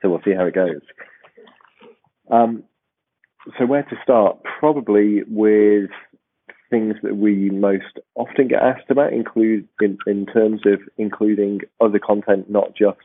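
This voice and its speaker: A man hosting a podcast, with a croaky voice and a rambling, restarting way of talking. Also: lowish voice, fairly even intonation, measured pace, no room sound. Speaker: podcast host. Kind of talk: casual podcast chat. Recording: tinny phone-like line.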